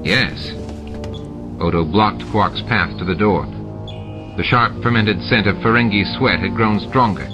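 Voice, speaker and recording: Low-voiced man reading a novel aloud. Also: moderate pace. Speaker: audiobook reader. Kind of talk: scripted narration. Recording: dull lo-fi with music behind.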